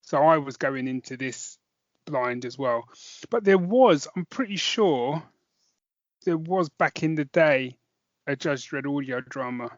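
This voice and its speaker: A man's voice: fairly high pitch, animated, a little slow, no room sound, highs cut off. A middle-aged British man co-hosting a podcast review in an informal, rambling, conversational way. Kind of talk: casual chat podcast review.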